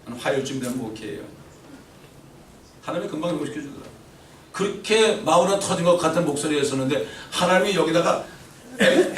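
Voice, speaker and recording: A middle-aged pastor delivers an emphatic sermon, his voice sitting a little high and rising to loud peaks before dropping to quiet asides. He keeps turning questions back to the congregation. It is picked up from a distance in a roomy church, with a faint hum of ambience behind him. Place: church sanctuary. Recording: roomy church echo from far off.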